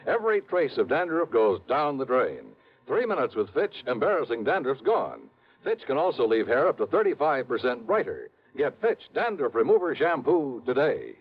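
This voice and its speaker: A male announcer reads scripted copy in 1950s radio style. His pitch swings widely in a lively sales delivery, through a thin, phone-like old recording. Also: normal speed, breathy edge.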